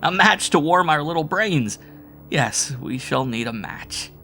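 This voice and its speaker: A man voicing a terrified young man who sobs and shrieks in panic, with a bright, forceful tone. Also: a little high, lively intonation, unhurried, slight background noise, pitched above the performer's own voice, exaggerated voice. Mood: hysterical. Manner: sobbing, shrieking, feverish.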